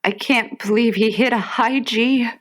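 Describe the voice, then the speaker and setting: Radio comedy role for a woman: aging devoted wife, slightly high pitch, soft mourning with dry humor. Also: steady tone, measured pace. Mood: wry, mournful. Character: devoted, wry older wife and mother, gently mournful. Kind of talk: comic radio play acting.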